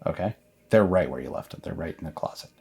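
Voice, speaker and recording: A man narrating a tabletop game as storyteller, improvising in a halting, conversational way. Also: low-pitched, lively intonation, vocal fry, darkish tone, a little hiss or hum.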